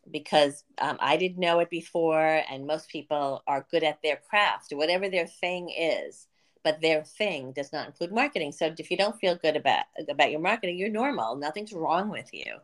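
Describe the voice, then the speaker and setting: A woman's voice: low-pitched, animated, moderate pace. A female business coach hosting a podcast, explaining marketing earnestly with a chatty, um-filled delivery. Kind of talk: casual podcast chat.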